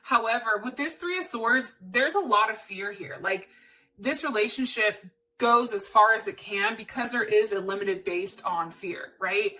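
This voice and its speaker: A woman's voice: medium pitch, a little slow, pushed and bright. A woman giving a casual, candid tarot reading as a channel host, chatting informally and repeating phrases for emphasis. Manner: candid and informal, with mild swearing. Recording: distant mic, background noise with clicks.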